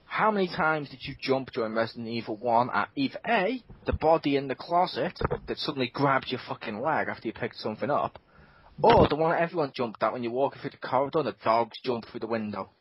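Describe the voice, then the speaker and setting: A man hosting a casual gaming podcast. He talks in an animated way, swears a lot and acts out his own reactions. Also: medium pitch, fast talker, breathy edge. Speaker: podcast host. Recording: dull lo-fi podcast audio.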